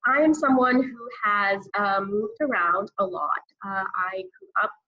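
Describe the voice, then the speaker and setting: A woman's voice: medium pitch, unhurried, lo-fi sound. A woman from Pakistan telling her personal story conversationally as an interview guest. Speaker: guest author.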